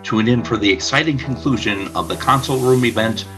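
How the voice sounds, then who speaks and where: A man narrating a scripted radio play as storyteller, with a husky voice. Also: mid-range voice, a little fast, a bit bright, faint music behind, rough recording.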